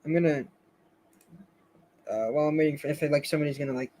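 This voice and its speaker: A man hosting a casual live stream, chatting with viewers in a rambling, hesitant way full of fillers and restarts, his voice going a bit hoarse. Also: on the high side, fast talker, warm tone, a little hiss or hum. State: slightly hoarse, losing his voice.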